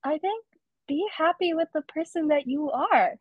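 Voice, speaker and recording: Higher-pitched American woman, muffled dry sound. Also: moderate pace, no echo. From United States. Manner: chatty, laughs easily, lots of fillers. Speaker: podcast guest. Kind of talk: casual interview chat.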